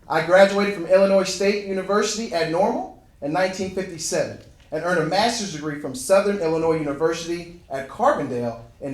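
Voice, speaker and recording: A man's voice: high voice, natural voice. A man reading the part of a dignified diplomat, formally reciting his career in the first person. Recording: very echoey room.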